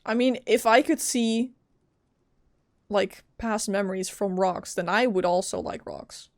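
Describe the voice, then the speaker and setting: A woman's voice: mid-range voice, a little slow, no room sound. A woman game streamer giving casual reactions and commentary as she plays along with the dialogue. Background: game music.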